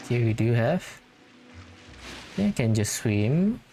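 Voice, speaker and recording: Slow, easygoing gamer thinking out loud. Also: medium pitch, very animated, soft background music. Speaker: gaming video host. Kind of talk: casual gameplay commentary.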